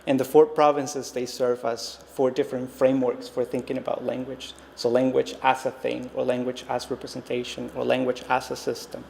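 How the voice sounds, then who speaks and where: A man's voice: medium pitch, completely flat delivery, moderate pace, vocal fry, few pauses, a little mellow. A man in his thirties from Costa Rica, a native Spanish speaker, giving an artist talk with a reflective, hesitant manner full of ums and restarts, recorded in a reverberant gallery space. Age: 30s. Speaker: visiting artist. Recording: long-reverb hall, faint noise.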